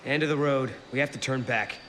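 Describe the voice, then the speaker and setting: An actor playing a cautious, analytical young traveler in a video game, serious and measured in delivery. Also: male voice, medium pitch, very noisy, natural voice. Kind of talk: video game cutscene acting.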